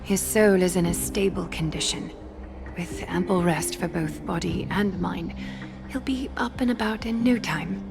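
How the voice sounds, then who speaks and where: A woman playing a composed, authoritative leader in a fantasy game, speaking in a measured, formal and deliberate way. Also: lowish voice, vocal fry. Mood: calm, grave. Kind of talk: dramatic fantasy game dialogue. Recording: background music behind the voice.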